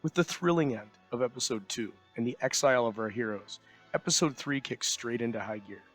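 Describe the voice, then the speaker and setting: A man reads a scripted host introduction. His pitch is average, and music plays behind his voice. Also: fairly quick, fairly bright tone. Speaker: host introducing an old radio episode.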